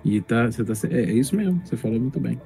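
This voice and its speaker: A man's voice: medium pitch, animated, fairly quick, vocal fry. A man with a Brazilian accent talking casually on a podcast in a reflective, explanatory way. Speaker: tabletop gamer, podcast speaker. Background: faint music.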